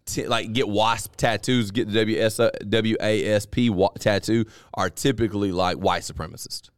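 A man's voice: on the low side, fairly even intonation, normal speed, smooth, no echo, clean studio sound. A man hosting a casual podcast chat, talking candidly and at length, often restarting and repeating words as he explains. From Deep South US. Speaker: podcast host.

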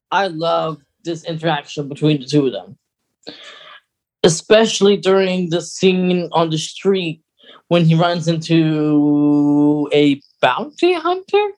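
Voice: medium pitch, lively intonation, slow, long pauses, a little mellow. A podcast co-host chatting casually and informally, recapping in a conversational way. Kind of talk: casual chat.

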